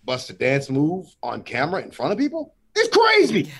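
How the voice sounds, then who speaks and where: Middle-aged male guest, fairly high for a man and very lively in pitch; animated, heartfelt talker. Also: breathy edge. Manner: animated, emotional, quick to laugh. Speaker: podcast guest. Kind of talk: casual podcast chat.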